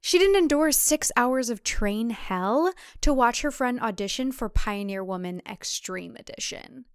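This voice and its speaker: A woman's voice: on the high side, expressive, a little slow, smooth, no room sound, studio quality. A woman reading scripted comic thriller narration as an audiobook narrator.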